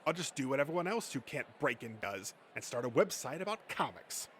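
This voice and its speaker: A man's voice: medium pitch, very animated, brisk, a bit bright, a little hiss or hum. A man voicing a boastful, thin-skinned male comic-book nerd who rants and swears self-importantly in an amateur comic dub. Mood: smug.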